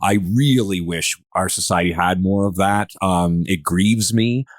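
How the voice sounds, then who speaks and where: A man's voice: low voice, unhurried, dry recording, clean studio sound. A man in a casual podcast discussion, speaking earnestly and rephrasing as he searches for words.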